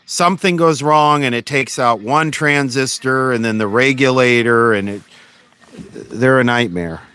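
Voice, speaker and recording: A man hosting an electronics repair livestream, chatting casually and answering comments in a halting, unscripted way that often trails off. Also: mid-range voice, sharp tone, smooth.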